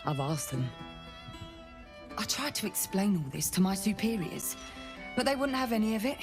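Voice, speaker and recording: An actress playing an earnest, dogged city guard investigator in a video game, with an intense, deliberate, confiding delivery. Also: female voice, medium pitch, close to an everyday voice. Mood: intense, intrigued, then urgent, frustrated. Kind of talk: dramatic game dialogue. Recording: background music under the voice.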